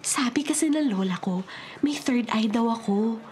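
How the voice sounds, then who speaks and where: A woman's voice: on the high side, lively intonation, normal speed, darkish tone, slight background noise, natural voice. A Filipino woman playing a friendly, gossipy office co-worker in a radio drama, mixing English slang into breezy chat. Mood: chatty, curious. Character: chatty, friendly female office co-worker who senses spirits.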